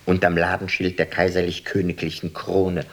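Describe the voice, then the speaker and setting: An actor in a radio drama playing a wry, irreverent official, speaking in a measured, ironic way. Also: male voice, sits low, flat delivery. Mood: sardonic. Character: wry, irreverent male official arranging an imperial banquet.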